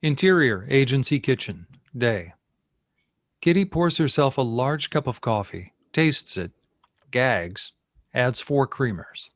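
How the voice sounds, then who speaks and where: A man's voice: medium pitch, lively intonation, slow pace, no echo, studio quality. A man reading stage directions as narrator at a screenplay table read. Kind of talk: scripted narration.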